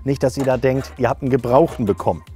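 A man's voice: medium pitch, fast, warm tone, faint music behind. A middle-aged man, chatting informally and jokingly as he thinks aloud through a hands-on product test. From northern Germany. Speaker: camping video host. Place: camping awning, outdoors and inside the tent.

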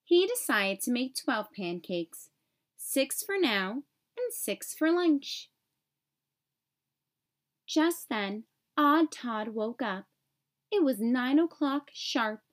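A woman reading a children's picture book aloud as an expressive storyteller, phrasing clearly for young children. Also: fairly high pitch, takes it slowly, long pauses.

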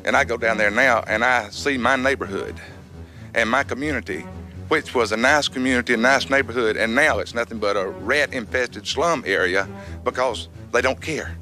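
A man in his forties from Atlanta answers haltingly into a handheld mic from a studio audience. His tone is bright and sharp. Faint music plays under the old broadcast. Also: mid-range voice. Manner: halting, hesitant. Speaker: talk show audience member. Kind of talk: archival talk show exchange.

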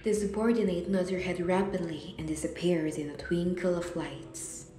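A woman acting as the calm narrator of a scripted radio drama. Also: lowish voice, moderate pace, close to an everyday voice. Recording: echoey recording.

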